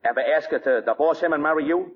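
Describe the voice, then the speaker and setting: An actor playing a blunt, skeptical, streetwise police lieutenant in 1940s radio style. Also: male voice, fairly high pitch, fast talker, slight background noise, lightly acted. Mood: probing. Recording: dull 1940s radio recording.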